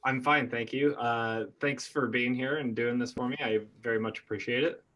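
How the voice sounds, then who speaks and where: A man's voice: mid-range voice, fairly even intonation, moderate pace, pushed and bright, rough recording. A man hosting a casual interview, chatty and enthusiastic, often restarting his sentences. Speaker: interviewer host. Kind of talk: casual video-call interview.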